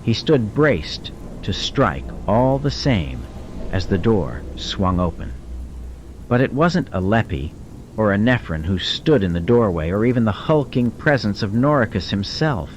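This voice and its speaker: Very deep-voiced male audiobook narrator. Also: big pitch swings, a little slow, dull audio, slight background noise. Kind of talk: dramatic scripted narration.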